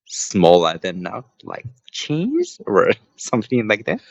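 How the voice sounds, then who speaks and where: A man's voice: on the low side, huge pitch swings, slow, creaky, dull audio. A man, an English student in casual conversation practice, hesitant and laughing often between words. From Japan. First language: Japanese.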